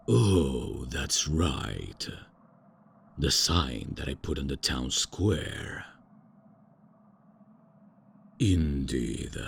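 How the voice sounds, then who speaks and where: Man doing an ancient vampire lord, very deep, slow and pompous, gravelly. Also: a little hiss or hum, full character voice. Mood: haughty. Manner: pompous, theatrical. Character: ancient haughty male vampire lord, out of touch. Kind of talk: ASMR comedy roleplay.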